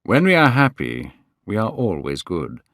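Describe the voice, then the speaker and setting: A man voicing a worldly, teasing gentleman in a storybook reading, slow and musical in his delivery. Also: fairly low pitch, wildly swooping pitch, dry recording, studio quality, heavily acted. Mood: languid, teasing. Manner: slow, drawling, cynical.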